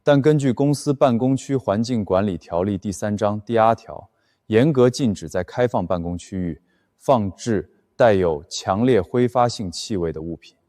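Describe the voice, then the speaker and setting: An actor playing a cold, possessive boss in a dubbed animated romance drama, speaking in a stern, formal, cutting way. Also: male voice, fairly low pitch, normal speed, no echo, studio quality, lightly acted. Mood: cold sarcasm. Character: cold, possessive young male boss, icy and sarcastic. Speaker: company boss.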